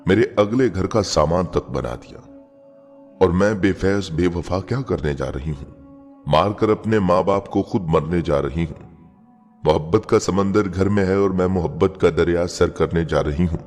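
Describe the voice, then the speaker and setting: Man voicing an emotional young woman, very deep tone. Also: measured pace, a little mellow. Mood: guilty, anguished. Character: educated young woman, lovestruck, torn by guilt. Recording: long reverb, music bed behind.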